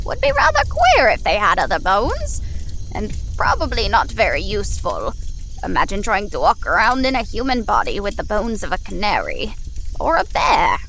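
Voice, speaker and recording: A woman's voice: mid-range voice, wildly swooping pitch, normal speed, creaky, fairly bright tone, a little hiss or hum, exaggerated voice, rough recording. A woman playing a dry, haughty, eccentric witch in an audio drama, with arch wit and old-fashioned diction. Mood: dryly amused. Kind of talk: horror comedy audio drama.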